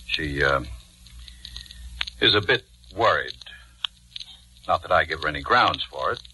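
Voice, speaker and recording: An actor playing a guilt-ridden middle-aged husband in a 1940s radio drama, measured, hesitant and confiding. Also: male voice, a bass voice, background noise. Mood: measured, frank. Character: guilt-ridden husband drawn to his wife's nurse, narrating his confession. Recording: vintage 1940s radio recording, music bed.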